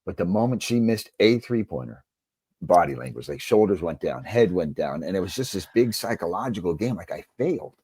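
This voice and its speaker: A man's voice: on the low side, big pitch swings, fairly quick, creaky, darkish tone, slightly rough, no room sound. A man speaking as a coach and interview guest, answering conversationally in an earnest, emphatic way.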